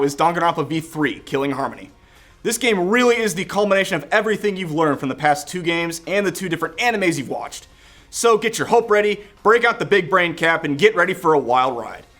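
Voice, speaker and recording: Male review host: high register, fast delivery, casual and opinionated. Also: a bit bright. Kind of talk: scripted video game review narration with casual banter.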